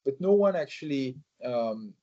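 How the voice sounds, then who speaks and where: A man answering interview questions in a measured way, with many fillers and restarts. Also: medium pitch, expressive, warm tone. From France. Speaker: think tank president, guest. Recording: slightly muffled recording.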